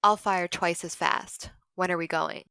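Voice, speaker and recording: A woman's voice: lowish voice, steady tone, measured pace, creaky, sharp tone, smooth, dry recording, heavily acted. A woman playing a hard-bitten, darkly eager battle companion in an audio drama, laconic and wry.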